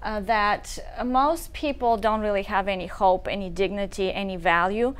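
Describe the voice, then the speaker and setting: A woman's voice: mid-range voice, normal speed, clean tone. A woman in her thirties from Bulgaria with a Bulgarian accent, telling her story earnestly as a talk show guest. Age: 30s. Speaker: guest, nonprofit founder.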